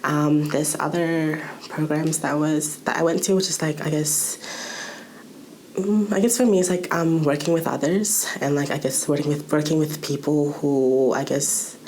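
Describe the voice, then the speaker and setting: A woman's voice: deep voice, fairly even intonation, moderate pace, a little mellow, low-quality recording. A young woman from Malaysia giving a casual personal account, hesitantly searching for her words. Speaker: youth programme participant.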